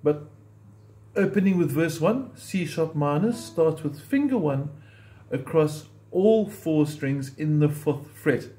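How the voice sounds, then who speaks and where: Male ukulele teacher, mellow tone, patient and methodical, explains while he plays. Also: a little high, lively intonation, takes it slowly, low-quality recording. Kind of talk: step-by-step instrument tutorial.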